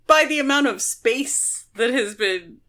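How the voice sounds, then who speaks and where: Bright-voiced American woman chatting. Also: sits high, animated, unhurried. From United States. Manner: explanatory, hedging with frequent "like". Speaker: podcast co-host. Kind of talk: casual book discussion.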